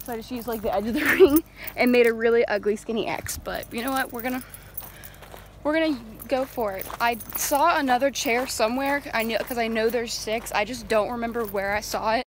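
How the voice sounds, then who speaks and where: A woman's voice: fairly high pitch, a little fast, a little hiss or hum. A young woman talking casually into a phone camera, rambling with lots of filler words. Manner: chatty, rambling with many "like" and "um" fillers. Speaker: vlogger. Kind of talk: casual vlog chat. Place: outdoors and in a horse barn. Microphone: phone camera held at arm's length.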